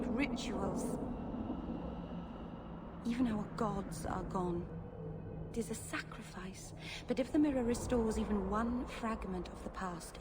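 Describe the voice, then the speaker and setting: Earnest, high-voiced young elf mage, lilt. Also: female voice. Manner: earnest, emotional. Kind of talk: video game cutscene acting. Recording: noisy game ambience behind.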